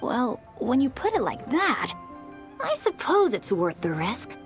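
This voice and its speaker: A woman voicing a bookish, well-spoken young boy scholar in a video game, explaining things in a measured, articulate way. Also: sits high, huge pitch swings, over music, exaggerated voice. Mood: reluctant.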